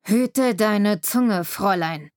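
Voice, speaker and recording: A woman's voice: medium pitch, moderate pace, dry recording, studio quality, close to an everyday voice. A woman voicing a bullying, heavyset middle-aged male sheriff who talks through a barely opened mouth. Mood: threatening. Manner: menacing, scornful.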